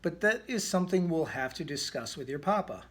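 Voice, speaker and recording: A man voicing a calm, sensible mother bear from a storybook, reasoning in a measured way. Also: a little high, breathy edge.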